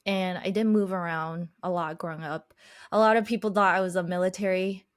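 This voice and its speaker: A woman guest telling her life story in an interview, reflective and unhurried, whose first language is Chinese. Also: lowish voice, steady tone, no room sound, studio quality. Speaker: podcast guest, life coach.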